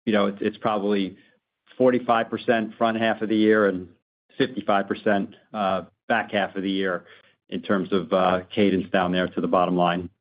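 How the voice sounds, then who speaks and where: A man answering analysts on an earnings call as a finance executive, in halting, hesitant phrases. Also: lowish voice, little pitch movement. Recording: dull conference call audio.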